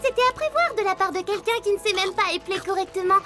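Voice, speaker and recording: A brainy, haughty cartoon schoolgirl, speaking very high. She is pedantic and blunt, with formal matter-of-fact phrasing over clearly audible music. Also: fast. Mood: scornful. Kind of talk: animated cartoon dub acting.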